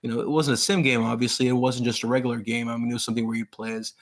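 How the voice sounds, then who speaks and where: A man raised in Pakistan, in his thirties, chatting as a guest author in an interview. He rambles with plain enthusiasm, full of "you know" and false starts. His voice sits at an ordinary middle pitch for a man. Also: level tone, very fast. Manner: rambling, enthusiastic, many fillers and restarts. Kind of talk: casual interview chat.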